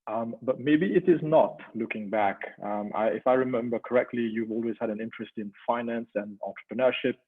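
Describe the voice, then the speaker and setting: A man's voice: mid-range voice, fairly quick, few pauses, slightly rough. A man moderating a panel webinar over a video call, chatty and personal, with frequent ums. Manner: conversational, many ums, warm personal asides. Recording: tinny phone-like audio.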